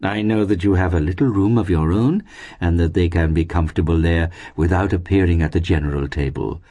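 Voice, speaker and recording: A man voicing a smug, flattering gentleman father in a storybook, speaking in an unctuous, deliberate, oratorical way. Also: low-pitched, natural voice. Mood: smooth persuasion.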